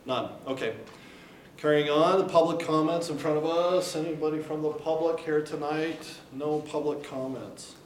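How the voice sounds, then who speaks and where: A man chairing a board meeting, brisk and procedural with light joking. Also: fairly high pitch, steady tone, a little hiss or hum. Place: meeting room. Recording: roomy hall, distant mic.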